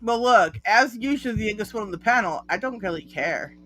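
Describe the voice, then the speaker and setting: A man's voice: sits high, animated, brisk, sharp tone. A man chatting on a panel, giggly and rambling through stories. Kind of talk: casual chat.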